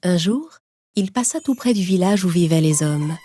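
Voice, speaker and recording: Woman telling a kids story, lowish voice, clear music behind her. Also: lively intonation, moderate pace, dark tone, no room sound, studio quality. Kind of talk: scripted children's story narration.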